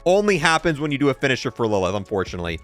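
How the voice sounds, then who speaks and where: A man's voice: medium pitch, very animated, very fast, fairly bright tone, soft background music. A young man giving casual, informal gameplay showcase commentary as a video host, in a matter-of-fact way.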